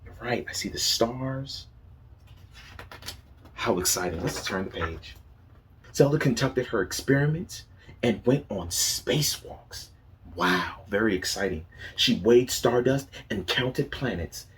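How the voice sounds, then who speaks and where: A man's voice: mid-range voice, lively intonation, takes it slowly, slight background noise, low-quality recording. A man reading a picture book aloud to young children as a teacher, asking them questions and praising their answers.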